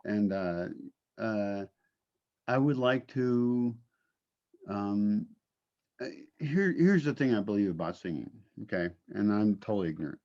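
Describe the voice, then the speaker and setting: A man who does paid narration, talking in a casual, animated, self-deprecating way, swearing casually. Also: lowish voice, measured pace, pauses often, highs cut off. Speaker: narrator and voice talent. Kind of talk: casual conversation.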